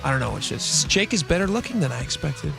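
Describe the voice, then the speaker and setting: A man's voice: on the high side, big pitch swings, fast, gravelly, over music. A man co-hosting a radio show, chatting and joking in a casual, self-deprecating way. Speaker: radio co-host. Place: radio studio. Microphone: studio microphone.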